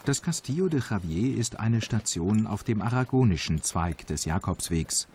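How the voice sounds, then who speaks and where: A man reading scripted travel documentary narration in a calm, measured, informative way. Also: on the low side, slight background noise. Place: voice-over studio. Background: background music.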